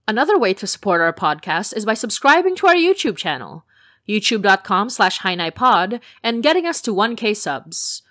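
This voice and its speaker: A woman's voice: medium pitch, very animated, moderate pace, fairly bright tone, no room sound, clean studio sound. A woman podcast creator reading a scripted announcement in a friendly, upbeat way, addressing listeners directly.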